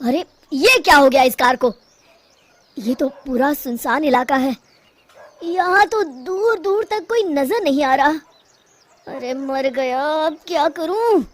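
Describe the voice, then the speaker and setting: A woman's voice: sits high, slow pace. A woman voicing an imperious, demanding freeloader of a brother-in-law in a cartoon story. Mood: dismayed.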